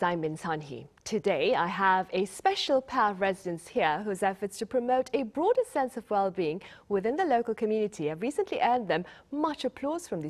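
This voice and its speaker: A woman hosting a broadcast TV interview in a studio, speaking in polished, formal phrases. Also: medium pitch. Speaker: TV interview host.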